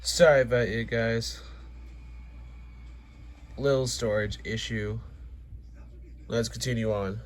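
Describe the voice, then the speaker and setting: A man's voice: mid-range voice, steady tone, takes it slowly, pauses often. A man speaking casually to viewers as the person making the video. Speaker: video uploader. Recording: faint background noise.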